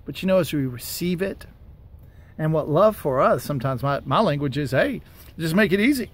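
Higher-voiced American man, casual devotional talk. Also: expressive, normal speed. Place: outdoors by a wooded parking lot. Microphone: camera or phone at arm's length. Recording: outdoor ambient noise.

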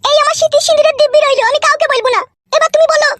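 A man's voice: very high voice, steady tone, rapid-fire. A man voicing a furious, vengeful, boastful wife in a comedy cartoon, ranting in a rural West Bengal accent. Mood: coaxing, surprised. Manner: ranting, threatening, earthy. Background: music.

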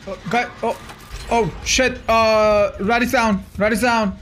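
A man in his thirties doing excitable live gameplay commentary for a chat, exclaiming and repeating phrases for emphasis. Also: high-pitched, unhurried, a little hiss or hum. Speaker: video game streamer. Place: indoor streaming room.

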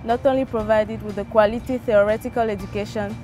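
A young woman from Ivory Coast reading a campus report as a student reporter, bright and upbeat. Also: medium pitch, fairly even intonation, fairly quick, faint music behind. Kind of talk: scripted narration.

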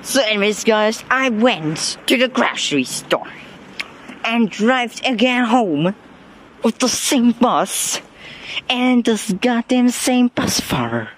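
A man's voice: very high voice, expressive, takes it slowly, fairly bright tone, slight background noise. Young German-accented man vlogging outdoors. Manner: rambling, self-deprecating, swears casually. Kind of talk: casual walking vlog. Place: outdoors on streets and on a bus. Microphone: phone held while walking.

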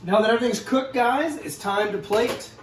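A man's voice: extremely high pitch, moderate pace. A man in his forties giving a casual, upbeat cooking demonstration to camera in a home kitchen, addressing viewers as guys. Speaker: cooking show host. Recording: roomy, distant mic, faint kitchen noise.

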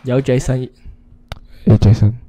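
A man's voice: medium pitch, steady tone, a little slow, dull audio, crowd noise. A young man streaming from a small bedroom setup and talking into a desk mic. He speaks in a loose, slangy way, tripping over his words and repeating himself. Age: young adult.

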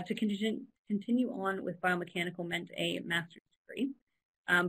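A woman's voice: lowish voice, poor audio. A young woman with a Canadian accent, giving a casual engineering talk over a video call, chatty with frequent ums. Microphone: laptop webcam on a video call.

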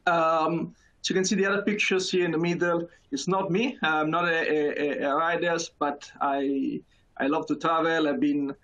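A man's voice: fairly high pitch, fairly even intonation, a bit bright, lo-fi sound. A man in his thirties with a Neapolitan Italian accent, giving a conference talk over a video call with many fillers and restarts. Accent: Italian, from Naples. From Italy. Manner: halting, many fillers and repeated words. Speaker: conference speaker. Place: small room indoors. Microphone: video call webcam.

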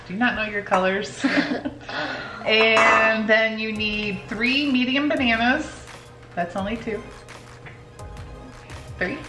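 A woman's voice: on the high side, slow pace, long pauses, music in the background. A woman in her forties chatting informally and giving recipe instructions in a home kitchen, with a teasing, casual manner. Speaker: home cooking vlog host.

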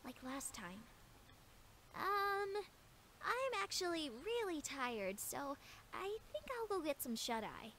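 A woman voices an anxious young cartoon unicorn mare. The voice is high and lively, yet slow and hesitant, like a flustered bookworm. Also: slight background noise, full character voice. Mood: flustered, uneasy. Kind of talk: animated fan drama acting.

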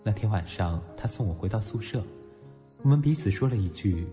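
A man's voice: fairly low pitch, lively intonation, fairly quick, close to an everyday voice. An actor playing a young male student in an audio drama, narrating reflectively as well as speaking in dialogue. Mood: wistful narration. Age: young adult. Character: young male student, nostalgic, proud, teasing. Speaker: lead actor and first-person narrator. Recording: music behind the voice.

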